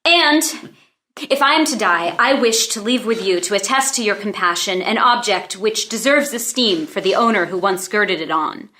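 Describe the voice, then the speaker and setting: A woman's voice: medium pitch, animated, normal speed, bright, forceful tone. A woman playing a proud young noblewoman in disguise, formal and earnest in a play read-through. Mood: solemn resolve.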